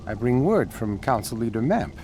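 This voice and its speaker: A man's voice: mid-range voice, very animated, normal speed, mellow, slight background noise, natural voice. A man voicing a small, sardonic goblin-like scholar in a fantasy video game, with a dry, grumbling manner. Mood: formal, coaxing. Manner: dry, sarcastic. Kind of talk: video game cutscene acting.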